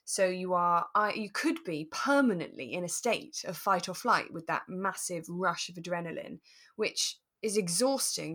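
A woman speaks in a slightly low-pitched voice. She talks as a podcast co-host, explaining things informally and step by step, with small illustrations along the way. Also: fairly bright tone. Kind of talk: relaxed conversational explaining.

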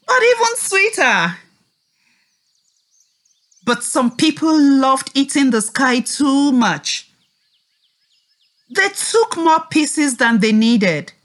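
A woman with a high, very lively voice teaches young children through a story. She speaks extremely slowly and deliberately, as a teacher would, with music behind her. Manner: slow, deliberate, expressive, prompting listeners to repeat. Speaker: teacher leading a children's read-aloud lesson.

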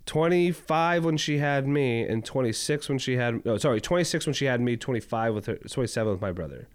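A man's voice: mid-range voice, animated, a little fast, clean tone. Rambling man in his twenties. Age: 20s. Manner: rambling, heavy use of "like" fillers. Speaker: podcast co-host. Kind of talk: casual chat.

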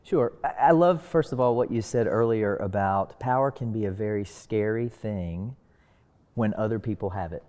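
A man speaking as a discussion guest, explaining earnestly in deliberate, emphatic phrases. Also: fairly low pitch, mellow. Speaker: discussion panelist. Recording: reverberant hall-like echo.

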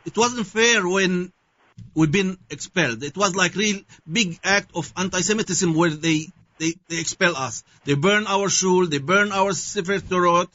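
A man from Syria with a Syrian Arabic accent, answering interview questions haltingly and searching for his words with many fillers. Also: high voice, pushed and bright. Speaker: guest. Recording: dull lo-fi sound.

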